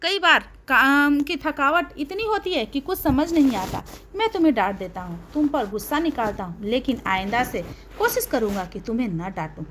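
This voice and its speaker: Woman voicing an emphatic, placating husband, high-pitched. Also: animated, normal speed, fairly bright tone, a little hiss or hum. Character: short-tempered husband, soothing and evasive in storybook drama.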